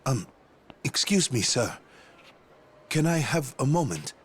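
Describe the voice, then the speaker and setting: A man's voice: medium pitch, sing-song, slow, breathy edge, full character voice. An actor playing a polite, earnest male doctor delivering a grave message in a game dub. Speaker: doctor messenger.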